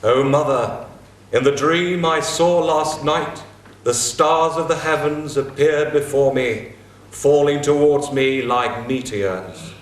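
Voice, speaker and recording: A man's voice: fairly high pitch, takes it slowly. A man reading the part of a proud young warrior king in a staged reading of an old epic. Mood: earnest. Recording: echoey room, mic at a distance.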